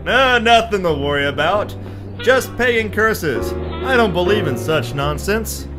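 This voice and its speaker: A man's voice: sits high, expressive, unhurried, long reverb tail. A man playing a boastful macho adventurer hero in a theatrical, hard-boiled pulp radio serial style. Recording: music behind the voice.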